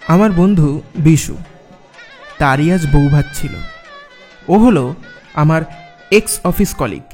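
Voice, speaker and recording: A man's voice: fairly high pitch, darkish tone. An actor playing a skeptical young office man in a dramatised horror story. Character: young office man recounting his eerie night, dismissive, skeptical. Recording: music under the voice. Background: background music.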